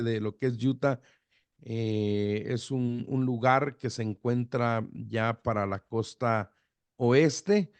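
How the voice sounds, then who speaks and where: A middle-aged pastor from El Salvador, with an accent mixing Salvadoran and Guatemalan Spanish, speaking in a relaxed radio interview full of fillers and restarts. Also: male voice, medium pitch, no room sound. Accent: Salvadoran-Guatemalan border Spanish. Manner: relaxed, hesitant with fillers and repeated words. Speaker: pastor, guest.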